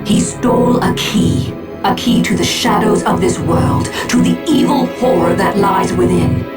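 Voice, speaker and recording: Slow, portentous woman acting an alien seer. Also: medium pitch, animated, lightly acted. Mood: cryptic, scornful. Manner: solemn, portentous, measured. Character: proud, cryptic alien seer witch, defiant and ominous. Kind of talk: video game cutscene acting. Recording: music behind the voice.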